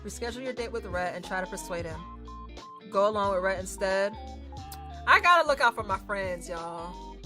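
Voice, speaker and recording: A woman's voice: mid-range voice, animated, moderate pace, pushed and bright, soft background music. A woman streaming a game, chatting and reacting in a casual, slangy, teasing way. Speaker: streamer playing a visual novel.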